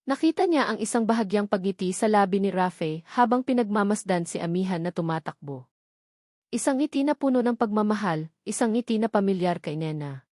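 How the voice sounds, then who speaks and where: A woman reading a scripted romance story as narrator, in a measured storytelling delivery. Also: mid-range voice, expressive, darkish tone, no room sound, studio quality.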